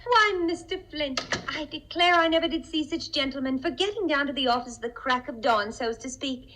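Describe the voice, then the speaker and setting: A naive, eager-to-please young office girl in an old radio comedy. She speaks in a fairly high voice, full of folksy flattery and earnest sweetness. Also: brisk, a little hiss or hum. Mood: respectful, flattering. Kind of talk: 1950s radio comedy-drama acting.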